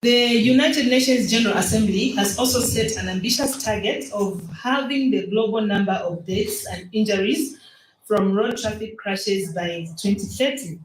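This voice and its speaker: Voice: mid-range voice, normal speed, echoey room, a little hiss or hum, rough recording. An organization representative giving a formal speech at a public event, with some restarts. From Zambia.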